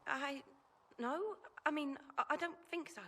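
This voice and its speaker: A woman's voice: sits high, unhurried, sharp tone. A woman playing a timid, deferential young servant in a fantasy video game, hesitant and apologetic. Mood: startled, nervous. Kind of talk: dramatic video game acting.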